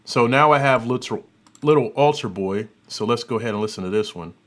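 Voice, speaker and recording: A man hosting an audio software tutorial, giving an informal, unscripted demonstration and thinking aloud as he works. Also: mid-range voice, fairly quick, heavy background noise. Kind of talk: informal demonstration and review commentary.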